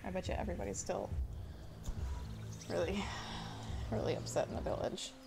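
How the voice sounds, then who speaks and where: A young woman casually commenting as she plays a video game at home, chatty and excitable, muttering to herself. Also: lowish voice, normal speed, creaky. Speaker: gaming video host. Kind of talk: casual let's play commentary. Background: loud game music.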